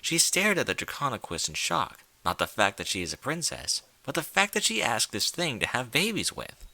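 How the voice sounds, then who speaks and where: A man's voice: medium pitch, very animated, moderate pace, creaky, bright, forceful tone, no room sound. A man reading fan fiction aloud as a theatrical, emphatic narrator. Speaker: story narrator.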